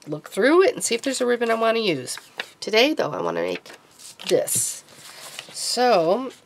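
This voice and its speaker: A woman hosting a casual craft tutorial at home, talking in a chatty, rambling way while she works, her voice deepened from being sick this week. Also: creaky, background noise. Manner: chatty, rambling, self-correcting. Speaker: craft vlogger.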